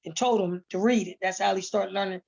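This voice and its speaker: A woman's voice: mid-range voice, fairly even intonation, a little fast, gravelly, a little mellow. A woman talking casually and emphatically as an interviewed stage actress, often repeating words and phrases. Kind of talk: casual panel conversation. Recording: dull, muffled lo-fi sound.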